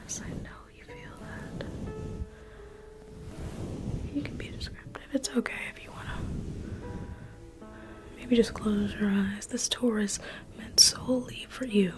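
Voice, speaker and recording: Slow whispering woman, ASMR guide. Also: on the high side, sing-song, over loud music. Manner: soft whispering, intimate and reassuring. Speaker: ASMR roleplay museum tour guide. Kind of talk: ASMR roleplay.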